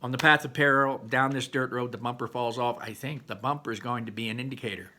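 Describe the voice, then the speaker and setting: A man reading a storybook aloud in an animated manner, stepping out for chatty explanations to his listeners. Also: medium pitch, fast.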